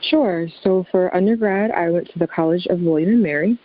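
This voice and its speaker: An American woman, a young adult, answering interview questions in a conversational, measured and explanatory manner as a guest. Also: fairly low pitch, dry recording, phone-quality sound. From southeastern Virginia, USA.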